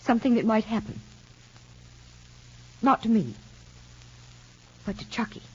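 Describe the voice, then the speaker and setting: A woman's voice: on the high side, expressive, a little slow, husky, heavily acted. An actress playing an anxious young mother in a 1940s radio soap, talking in a hesitant, worried way. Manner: hesitant, worried, soft-spoken. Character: anxious young mother fearing for her son. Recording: dull lo-fi old radio recording.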